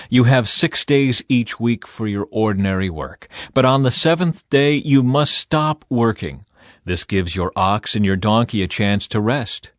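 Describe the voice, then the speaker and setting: Male audiobook Bible reader, mid-range pitch, measured and solemn, close dry studio sound. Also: dry recording. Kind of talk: scripted scripture narration.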